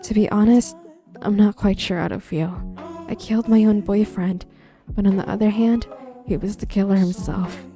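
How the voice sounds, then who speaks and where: A woman's voice: mid-range voice, steady tone, moderate pace, warm tone, faint music behind, natural voice. A woman playing a shaken, guarded young woman in a game roleplay, speaking candidly. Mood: guarded, numb. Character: guarded, shaken young woman who killed her boyfriend. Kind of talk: online game roleplay voice acting.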